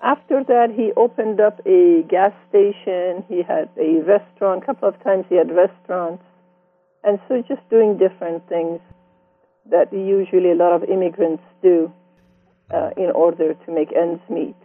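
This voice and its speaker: A woman in her sixties from Iran with a Persian accent, telling her life story in an interview with reflective, gently amused warmth. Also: fairly low pitch, normal speed, no room sound. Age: 60s. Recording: dry tinny phone-like sound.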